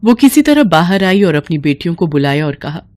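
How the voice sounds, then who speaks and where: A woman's voice: lowish voice, sing-song, fairly quick, darkish tone. A woman storyteller delivering scripted, dramatic narration of a moral tale.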